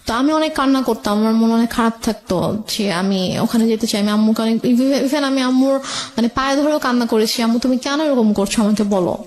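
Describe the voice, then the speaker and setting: A woman's voice: on the high side, brisk, a little mellow. A woman with a Bangladeshi accent telling her personal story as a radio interview guest, hesitantly, repeating phrases and dropping in English words. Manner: mixes English words into speech.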